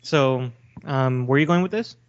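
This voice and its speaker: A man's voice: medium pitch, moderate pace, smooth. A man co-hosting a podcast, debating casually in a blunt, questioning, challenging manner. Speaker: podcast co-host. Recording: dull, lo-fi voice recording.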